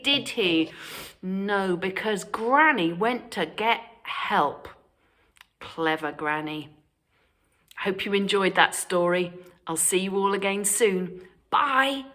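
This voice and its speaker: Animated British woman reading a children's story. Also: medium pitch. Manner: animated, addressing young children. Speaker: storytime reader. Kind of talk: expressive children's story narration.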